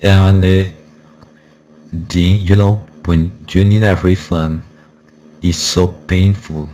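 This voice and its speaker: A very deep-voiced man delivers a casual product review in an insistent, repetitive way. His voice passes through a voice changer, with clear noise in the background. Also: little pitch movement, slow, mellow. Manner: insistent, repetitive, warning listeners. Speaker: software reviewer.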